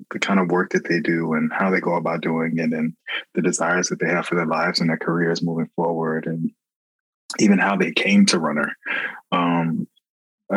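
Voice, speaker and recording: Deep husky reflective man. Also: dry recording, low-quality recording. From Florida, USA. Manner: reflective, earnest, many ums. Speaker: recruiter. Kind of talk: casual interview talk.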